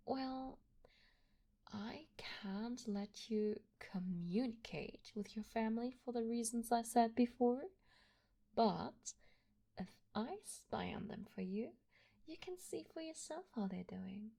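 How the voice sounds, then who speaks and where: A woman playing an obsessive, doting young yandere kidnapper in an intimate ASMR roleplay, soft and breathy with frequent drops toward a whisper. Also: fairly high pitch, full character voice. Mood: tender, obsessive. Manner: soft breathy delivery dropping often into whispers.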